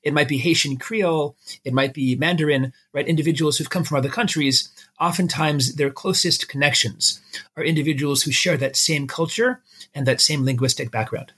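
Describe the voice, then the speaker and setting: A man's voice: on the high side, normal speed, bright, forceful tone, slightly rough. A middle-aged man with an American accent, explaining things conversationally with everyday examples as an expert interview guest.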